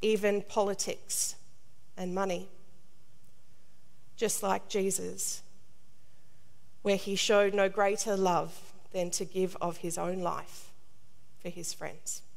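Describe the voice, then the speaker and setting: Slow woman preaching, long reverb. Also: medium pitch, flat delivery, gravelly. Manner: measured, deliberate, solemn. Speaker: priest, preacher. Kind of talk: scripted sermon. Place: large stone cathedral, indoors. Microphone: lectern gooseneck microphone.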